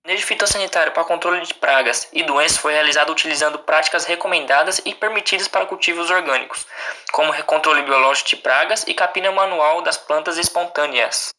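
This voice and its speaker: A man's voice: a little high, fast talker, sharp tone, no echo. A man with a Brazilian accent reading a scripted academic presentation aloud as a student, in a formal, recited way with occasional stumbles.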